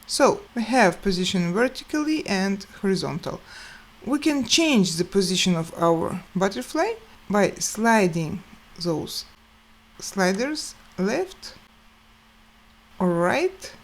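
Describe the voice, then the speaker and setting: A woman hosting a step-by-step software tutorial, speaking in short, halting phrases with pauses. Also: mid-range voice, sing-song. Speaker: tutorial host.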